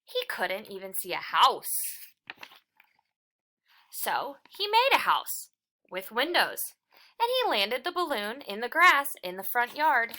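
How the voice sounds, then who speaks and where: A woman reading a children's picture book aloud in an expressive storytelling style, with deliberate phrasing and dramatic emphasis. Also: fairly high pitch.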